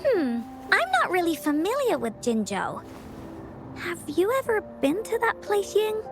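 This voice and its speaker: A woman's voice: very high voice, big pitch swings, a little slow, soft background music, heavily acted. A woman voicing a quirky, confident little girl in a video game, with playful, childlike pep. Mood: playful, eager. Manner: speaks of self in third person, childlike pep. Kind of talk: video game character acting.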